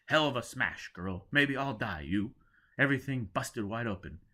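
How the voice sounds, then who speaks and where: Man acting battered space castaway, croaking, brusque, obsessed, clipped slangy phrases, audiobook character. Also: mid-range voice, animated, normal speed, bright, forceful tone. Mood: urgent, brusque. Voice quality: croaking, mumbling. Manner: terse, clipped slangy speech.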